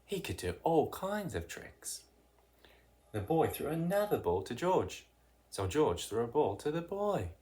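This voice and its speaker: Storybook narrator reading to kids, real slow n deliberate, expressive w dramatic emphasis. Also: mid-range voice. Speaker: picture book reader. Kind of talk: read-aloud children's storytelling.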